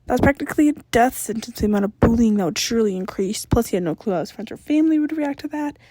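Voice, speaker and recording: A woman's voice: a little high, animated, very fast, dark tone, no room sound. A woman reading a story aloud as a scripted narrator. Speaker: podfic reader.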